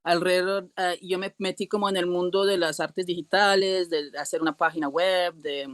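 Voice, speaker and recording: A woman's voice: lowish voice, steady tone, moderate pace, dry recording. Hesitant woman guest in a dry recording. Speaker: interview guest. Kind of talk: casual personal storytelling.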